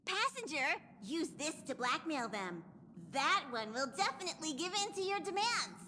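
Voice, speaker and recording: A woman voicing a breezy, teasing, lively female character in a video game. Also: sits high, normal speed, long reverb tail, a little hiss or hum, exaggerated voice.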